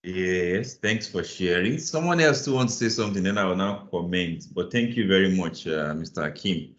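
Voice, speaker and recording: A man's voice: sits low, moderate pace. A man from Nigeria teaching a live online finance class, patiently encouraging answers and reading definitions aloud over a video call. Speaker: financial modeling instructor. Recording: roomy, slightly muffled call audio.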